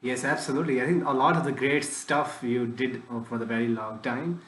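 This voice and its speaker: A man hosts a tech podcast and asks long, rambling questions, restarting his sentences often. His voice sits at a middle pitch. The room around him is echoey. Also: steady tone, brisk, a bit bright. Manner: broken phrasing with frequent restarts. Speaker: podcast host. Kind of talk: casual interview questions.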